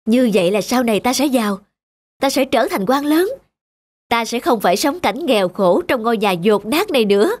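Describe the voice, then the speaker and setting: A woman's voice: a little high, animated, normal speed. A woman voicing a vain, haughty, poor male scholar in a cartoon folktale. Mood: gloating. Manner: boastful, haughty, demanding. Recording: music behind the voice.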